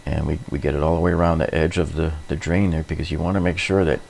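Middle aged guy doing a diy how-to, deep gravelly voice, casual explaining, bit of background noise. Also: level tone, fast, a little mellow. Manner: informal, explanatory, repetitive. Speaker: DIY renovation host. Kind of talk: hands-on tutorial narration.

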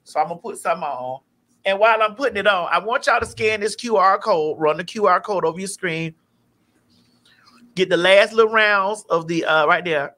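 A woman's voice: medium pitch, a little fast, fairly bright tone. A middle-aged woman hosting a livestream, chatting in a blunt, playful, profane casual way. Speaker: talk show host. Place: indoor studio livestream. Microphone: studio mic on stand, close.

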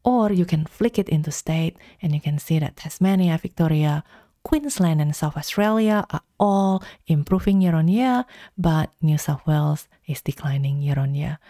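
A woman talks through a software tutorial in calm, step-by-step instructions. Her voice is mellow and low, unhurried, recorded dry and close. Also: dry recording. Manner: calm step-by-step instructions, slow deliberate pacing.

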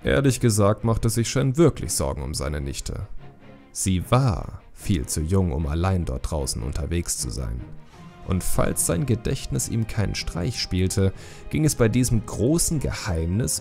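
Low, expressive male audiobook narrator, slightly gravelly. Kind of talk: scripted dramatic fantasy narration.

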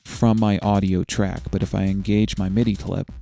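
Man, software instructor, mellow dark tone, fry-laden voice, methodical explanations, screencast tutorial narration. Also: sits low, flat delivery, moderate pace, soft background music.

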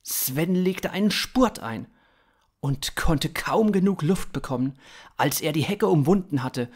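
A man's voice: fairly high pitch, lively intonation, a little slow. A man in his thirties reading scripted science fiction narration for a story podcast. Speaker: author-narrator.

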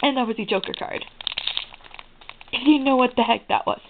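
A young-sounding let's play host with a raspy voice, giving casual commentary on gameplay. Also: medium pitch, moderate pace. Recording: muffled phone-like audio, clear noise, clicks.

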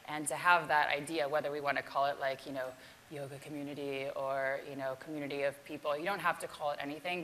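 A woman's voice: deep voice, fairly bright tone, a little hiss or hum, low-quality recording. A woman yoga teacher giving a casual keynote talk with a live demonstration, chatty and self-deprecating.

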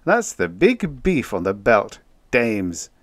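A man's voice: lowish voice, wildly swooping pitch, slow pace, no room sound, voice pushed up, exaggerated voice. A man voicing a jovial, teasing spaceman with a slangy, wisecracking manner in a story reading. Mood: knowing, teasing.

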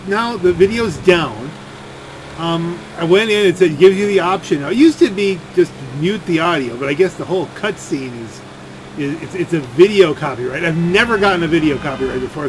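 A man with a fairly high voice commentates over a game with clear engine noise behind him. He rambles and grumbles, halting and doubling back on his words. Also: low-quality recording. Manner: complaining, halting, repeats words. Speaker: gaming video creator.

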